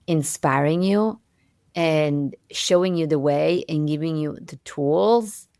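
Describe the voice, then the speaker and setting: Female podcast host, lowish creaky voice, expressive conversational interviewer, close dry sound. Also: no room sound.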